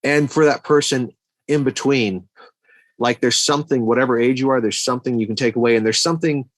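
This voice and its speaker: A man's voice: medium pitch, brisk, no echo. A middle-aged man co-hosting a podcast, talking through film opinions casually and thinking aloud, often repeating words as he goes. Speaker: podcast co-host reviewing movies.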